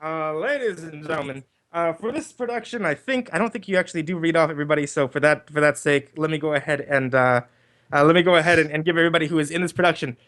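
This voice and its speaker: Higher-voiced man hosting a livestream. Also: fast talker, fairly bright tone. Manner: rambling, repeats and restarts phrases. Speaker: livestream host organizing a cast. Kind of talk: casual livestream chat. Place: online group voice call.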